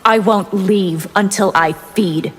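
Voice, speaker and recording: A woman's voice: mid-range voice, slow pace, over loud music, lightly acted. A woman playing a haughty, hungry vampire from another realm, cold and seductive in a video game. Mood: cold menace. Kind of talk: video game fight intro banter. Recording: game audio with background noise.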